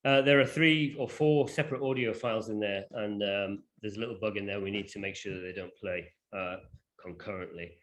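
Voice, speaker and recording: A man presenting a software demonstration informally, in a hesitant, off-the-cuff way. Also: lowish voice, fairly even intonation, brisk. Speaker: presenter demonstrating a digital library.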